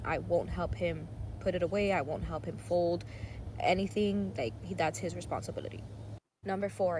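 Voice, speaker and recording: Young woman, lowish voice, blunt and firm, enumerating rules, social media clip, faint background traffic noise. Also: darkish tone. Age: young adult. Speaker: social media content creator. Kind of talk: listing personal rules to camera.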